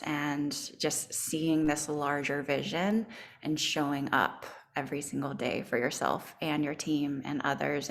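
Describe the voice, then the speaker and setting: A woman's voice: low voice, creaky. A woman hosting a team video call, encouraging and praising her teammates one by one. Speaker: call host, team leader. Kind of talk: casual video-call speech.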